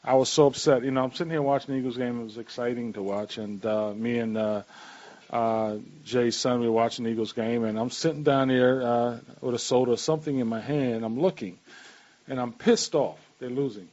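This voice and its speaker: A man's voice: medium pitch, steady tone, brisk, a little mellow. A man hosting a radio talk show in casual, rambling, repetitive chat. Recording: dull lo-fi radio stream.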